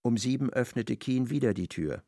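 Gravelly male radio play narrator. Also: mid-range voice, mellow, no room sound, studio quality. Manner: measured, vivid storytelling.

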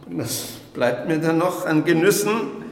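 Casting: a nervous, reluctant elderly recluse, lightish pitch, radio drama, recorded in an echoing space. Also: male voice, measured pace, gravelly. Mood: wary, grumbling. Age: older. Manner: reluctant, fearful, grumbling. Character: anxious, stubborn, reclusive old grandfather. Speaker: actor in a radio play. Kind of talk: naturalistic radio drama acting. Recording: echoey hall-like reverberant room.

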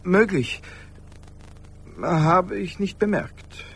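A man's voice: sits high, animated, drawn-out words, creaky, a bit bright, breathy edge, exaggerated voice. An actor in a radio play playing an anxious, mild man who hesitates and fusses with concern over his friend. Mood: puzzled. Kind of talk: 1980s German radio play acting.

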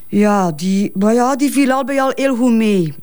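A woman's voice: on the high side, lively intonation, a little slow, a little mellow. An actress playing a grieving, protective mother in a Flemish crime drama, speaking hesitant, colloquial West Flemish with repeated words. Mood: chatty.

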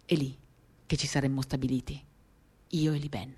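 A woman giving a literary dramatic reading of a first-person monologue, measured, with pauses between short phrases. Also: rumbling low, little pitch movement, mellow, slightly rough. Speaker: reader of a novel, performing a radio reading. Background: acoustic guitar music.

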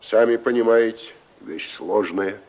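An actor playing a skeptical, wordy leader of a fishing crew, explaining rhetorically in a Soviet radio drama. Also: male voice, fairly low pitch, unhurried, darkish tone. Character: skeptical fishermen's brigade leader, wordy and dismissive. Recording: muffled 1960s radio play recording.

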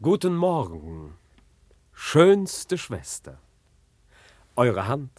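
An actor playing an arrogant, petulant young prince and spurned suitor in a classic radio drama, pompous and excitable. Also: male voice, mid-range voice, extremely slow, dry recording, full character voice. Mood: wooing.

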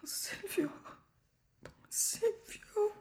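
Slow, half-whispering grieving woman. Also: warm tone. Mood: anguished, tender. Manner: pleading, halting. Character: grieving, tender woman reproaching a beloved young man. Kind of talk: intimate audio drama acting.

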